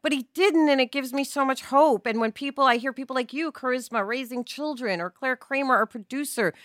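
A woman's voice: high-pitched, brisk, a bit bright, no echo, clean studio sound. A woman talking expressively in a casual panel discussion, often acting out quotes as she goes. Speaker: podcast panelist.

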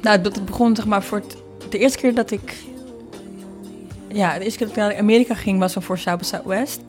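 A woman's voice: mid-range voice, fairly even intonation, moderate pace, a little mellow, soft background music. Casual woman telling stories. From Netherlands. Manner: informal, anecdotal, re-enacts dialogue. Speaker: singer and producer, podcast guest. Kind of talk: casual interview storytelling.

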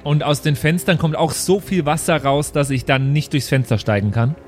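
A man's voice: on the high side, moderate pace, a little mellow, faint music behind. A man playing a tabletop role-playing game, casually saying what his character does. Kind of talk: casual live tabletop play, speaking in character.

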